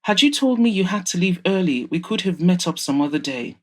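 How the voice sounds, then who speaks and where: A woman's voice: lowish voice, lively intonation, a little fast. A woman voicing an apologetic playboy son in his thirties in an audio drama, pleading and placating. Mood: protesting.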